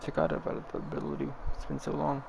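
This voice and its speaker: A man's voice: on the high side, completely flat delivery, quick pace, creaky, background noise. A man giving casual play-along commentary as a gameplay streamer.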